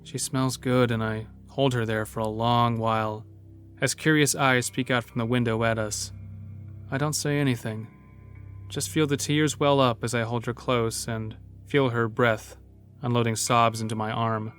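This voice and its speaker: A man playing a weary, brooding character who narrates his own story in an introspective, measured way. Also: medium pitch, flat delivery, fairly bright tone, faint music behind, close to an everyday voice. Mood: brooding, wistful. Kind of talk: first-person audio drama narration.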